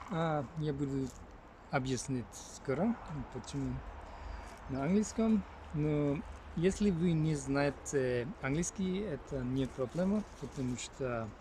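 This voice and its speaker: A man's voice: medium pitch, mellow, slight background noise. A man with a Finnish accent, a native Finnish speaker, talking casually to camera outdoors in a forest, thinking aloud and drifting off topic. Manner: rambling, thinks aloud, easily sidetracked. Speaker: language learner vlogger.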